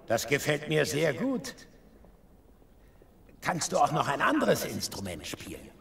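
A man's voice: on the high side, huge pitch swings, a little slow, creaky, fairly bright tone, full character voice. Volatile, theatrical king, swooping delivery. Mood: soothed, pleased. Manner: theatrical, commanding. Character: troubled, volatile king, swinging from anguish to booming festivity. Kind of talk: German Bible audio drama acting.

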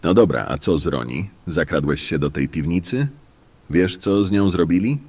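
A man acting a gruff, cynical, calculating bandit in a fantasy audio drama, speaking sardonically in a husky voice. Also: low-pitched, animated, normal speed, a little mellow. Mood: impatient.

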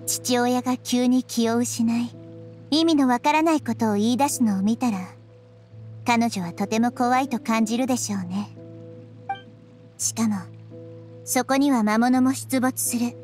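A woman voicing a small, wise young girl deity in a game, speaking in a measured, gently reproving way. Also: a little high, soft background music, natural voice. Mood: composed, explaining. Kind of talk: scripted Japanese game voice acting.

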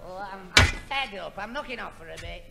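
Creaky, whining, daft young fellow. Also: male voice, high-pitched, expressive, fairly quick. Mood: fed up. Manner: stammering, flustered repetitions. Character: daft, whining, put-upon young man. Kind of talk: 1960s radio comedy acting. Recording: noisy old radio recording.

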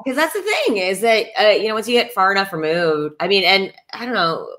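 A woman's voice: medium pitch, animated, a little fast, fairly bright tone. A woman in her thirties from the United States, a voice actor on a video call from home, reflecting at length with "you know" and "um.". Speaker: voice actor panelist. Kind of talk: thoughtful casual chat. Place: home room with a gaming chair. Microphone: webcam on a video call.

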